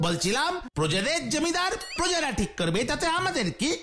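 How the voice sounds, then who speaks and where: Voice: sits high, sing-song, fairly quick, sharp tone, full character voice. An actor voicing a cheeky, lazy schoolboy in a cartoon, grumbling in a whiny, theatrical way. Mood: mock innocence. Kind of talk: Bengali cartoon dubbing. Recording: music behind the voice.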